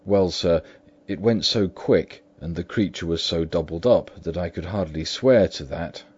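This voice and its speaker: A man's voice: low-pitched, measured pace, darkish tone, husky. A man voicing a frightened, loyal old butler who speaks urgently and pleads emphatically. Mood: earnest. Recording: dull lo-fi recording.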